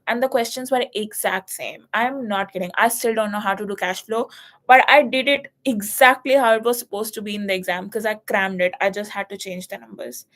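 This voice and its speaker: A young woman in her twenties from India, chatting casually and telling an animated story about passing an exam, swearing now and then. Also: mid-range voice. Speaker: student. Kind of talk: casual chat.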